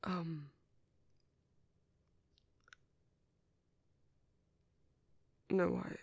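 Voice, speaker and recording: A man playing a gentle, shy British vampire priest in a soft, intimate ASMR roleplay, with breathy whispering and a hesitant, tender manner. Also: fairly high pitch, wildly swooping pitch, slow, no echo, clean studio sound, exaggerated voice. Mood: flustered, bashful. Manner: hesitant, flustered, tender, formal wording.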